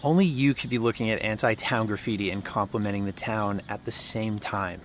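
A man's voice: fairly low pitch, brisk, slight background noise, close to an everyday voice. A man playing a sardonic, frustrated young small-town reporter in a naturalistic audio drama. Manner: dry, frustrated, wry.

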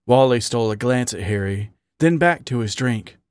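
A man narrating an adult story in a measured, expressive reading on a close mic. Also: medium pitch, no echo. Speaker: story reader.

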